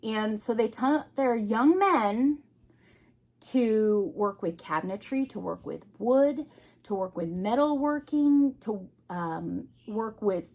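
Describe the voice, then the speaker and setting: A woman giving an unscripted, casual hobby vlog, thinking aloud in short, halting phrases. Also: mid-range voice. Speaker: craft vlogger.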